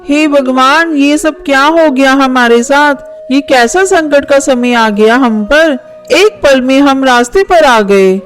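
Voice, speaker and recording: Woman voicing kindly cartoon mother bird, high-pitched, gentle manner, soft music bed. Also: takes it slowly. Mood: distressed. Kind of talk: Hindi children's cartoon dialogue. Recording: faint music under voice. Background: faint music.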